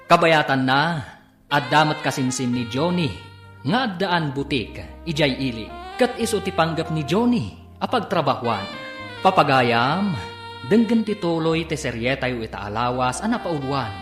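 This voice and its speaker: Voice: medium pitch, lively intonation, unhurried. A radio serial announcer reading scripted dramatic narration. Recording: echoey radio studio, clear music behind. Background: music.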